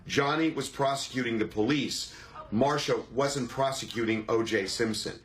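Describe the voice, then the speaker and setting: Man, analytical commentator, average pitch, faint background noise. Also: moderate pace.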